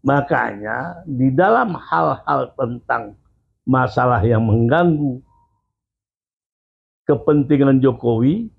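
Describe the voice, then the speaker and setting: A male guest giving slow, measured opinions in a casual interview. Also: mid-range voice, lively intonation, creaky, breathy edge. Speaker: guest commentator. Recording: tinny phone-like audio.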